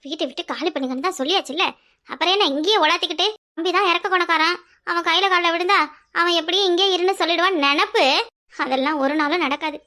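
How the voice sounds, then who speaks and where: A woman's voice: extremely high pitch, fast talker, sharp tone. A woman playing a spiteful, haughty sister-in-law in a village family drama, scolding and taunting. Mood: contemptuous. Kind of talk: Tamil family drama dialogue.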